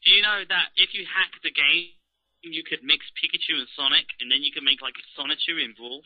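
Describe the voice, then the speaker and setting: A man's voice: on the high side, steady tone, fairly quick, no echo. A young man asking questions in a casual chat over a voice call. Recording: tinny phone-like call audio.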